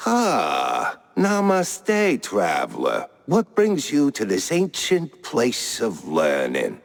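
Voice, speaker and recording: Slow, swooping stuntman mouse guru. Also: medium pitch, wildly swooping pitch, heavily acted. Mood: faux-serene. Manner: faux-serene sage slipping into streetwise slang. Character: eccentric mock-mystic stuntman mouse guru, smug and streetwise. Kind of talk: cartoon noir game voice acting. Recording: long echo, cavernous reverb.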